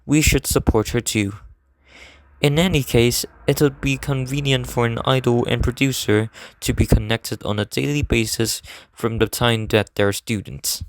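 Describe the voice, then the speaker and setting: A man playing an earnest, serious teenage male idol student in an anime game fan dub, explaining things formally at measured length. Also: medium pitch, steady tone, no room sound, close to an everyday voice, low-quality recording. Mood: earnest, thoughtful.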